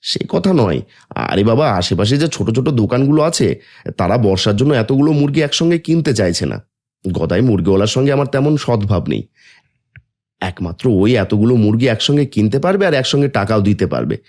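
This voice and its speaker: A man's voice: on the low side, sing-song, fast, a little mellow. A man voicing a practical village farmer in a cartoon, who asks a poor neighbour for help.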